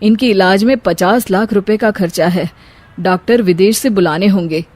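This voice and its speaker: A serious, formal doctor voiced by a woman in a cartoon family drama. The delivery is measured and grave, at a medium pitch. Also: a little mellow, dry recording, natural voice.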